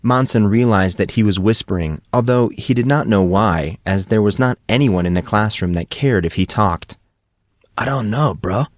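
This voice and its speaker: A man's voice: lowish voice, moderate pace, few pauses, no echo, studio quality. A man reading a scripted story aloud as an audiobook narrator.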